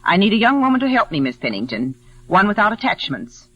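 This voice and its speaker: Brooding widow, tense and low. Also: female voice, very animated, a little fast, fairly bright tone. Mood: stern, guarded. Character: stern, lonely, embittered older widow housekeeper of a cottage. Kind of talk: 1940s radio acting. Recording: muffled vintage radio recording.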